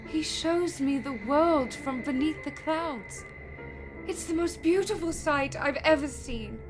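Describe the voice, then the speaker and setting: An actress playing a frail young woman under a spell in a radio horror drama, speaking in a halting, dreamy way. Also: high voice. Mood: entranced. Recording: loud music behind the voice.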